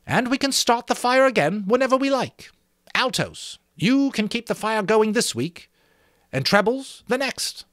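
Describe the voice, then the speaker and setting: A man's voice: very high voice, big pitch swings, measured pace, a bit bright, no room sound, studio quality, pitched above the performer's own voice, heavily acted. A man voicing a proud, bossy, contemptuous schoolboy leader in a storybook reading, with a fierce, commanding manner.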